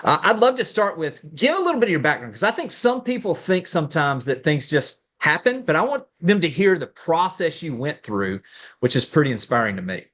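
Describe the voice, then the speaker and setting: Enthusiastic man hosting, slightly high voice. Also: big pitch swings, brisk, fairly bright tone. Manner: enthusiastic, pitchy delivery. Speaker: podcast host. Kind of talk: interview hosting.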